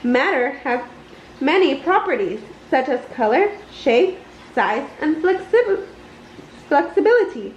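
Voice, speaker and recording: A woman with a high voice reads a children's story aloud, unhurried and expressive. Her pitch moves up and down a lot. The recording sounds roomy, like a simple home setup. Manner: animated, deliberate storytelling. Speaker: storybook reader. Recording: roomy, slightly dull, noisy home recording.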